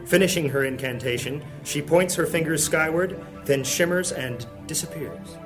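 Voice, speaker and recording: A man narrating a high fantasy audio drama as a solemn, scripted storyteller. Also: moderate pace, music in the background, lightly acted.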